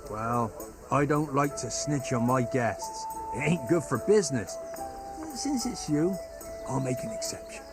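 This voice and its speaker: An actor playing a grumbling but obliging medieval innkeeper in a video game, folksy and gossipy. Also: male voice, mid-range voice, lively intonation, a little slow, loud music behind the voice, lightly acted. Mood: reluctant. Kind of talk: naturalistic video game acting.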